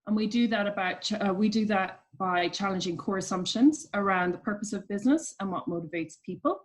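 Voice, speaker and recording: A woman with an Irish accent giving reflective, explanatory answers as a guest in an interview, pausing as she phrases her thoughts. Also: mid-range voice. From Ireland. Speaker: interview guest, coach at a business charity.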